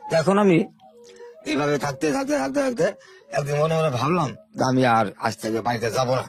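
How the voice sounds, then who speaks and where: Fast-talking older man. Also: a little high, huge pitch swings, gravelly. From Bangladesh, Sherpur. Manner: unhurried rustic storytelling, repeating words. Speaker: interview guest. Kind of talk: telling his life story in an interview.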